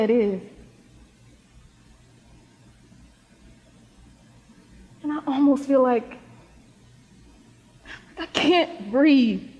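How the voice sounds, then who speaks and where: A woman playing a grieving, vulnerable young student devoted to her grandmother, in a stage play, with a husky voice. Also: sits high, darkish tone, heavily acted. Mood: anxious, distressed. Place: theater stage in a reverberant hall. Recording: muffled echoey stage hall recording.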